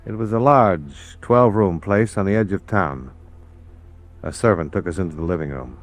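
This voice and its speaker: A hardboiled investigator in a vintage radio crime drama. His voice is low, with terse, procedural phrasing and a matter-of-fact noir delivery. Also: moderate pace, soft background music. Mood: factual narration. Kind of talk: mid-century radio noir narration and acting.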